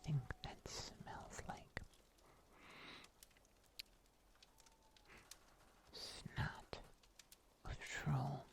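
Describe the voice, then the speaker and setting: A performer voicing a calm, seasoned monster huntsman in a soft, breathy ASMR whisper, telling tales slowly and deliberately. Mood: calm, musing. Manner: slow, deliberate, hushed storytelling with long pauses.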